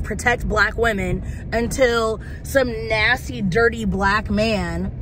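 A woman in her thirties talking bluntly in a casual vlog from her car, sometimes hunting for the right word. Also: fairly high pitch. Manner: blunt, candid, searching for words. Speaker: vlogger. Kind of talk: casual opinion vlog while driving. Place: parked car, outdoors traffic around. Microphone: phone camera on dashboard. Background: car and street noise.

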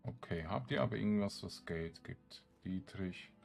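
A man with a very low, gravelly voice talks through a video game casually. He muses to himself in short, halting remarks, as a let's play host does. Soft music plays faintly behind him. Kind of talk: casual gameplay commentary.

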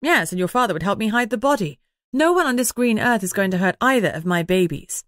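A woman's voice: medium pitch, animated, a little fast, a bit bright, no room sound, studio quality, close to an everyday voice. A woman voicing a protective middle-aged mother in an audiobook, matter-of-fact and fussing.

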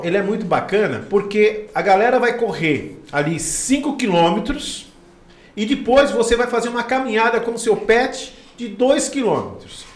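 A man's voice: sits high, echoey room. A middle-aged man, presenting conversationally as a podcast host. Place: small podcast studio. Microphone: close podcast microphone on boom arm.

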